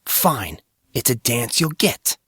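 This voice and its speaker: A man's voice: medium pitch, very animated, slow pace, fairly bright tone, breathy edge, dry recording, heavily acted. A man voicing a cocky young male hero of a fantasy story, with dry, teasing, smug sarcasm. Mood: determined, teasing.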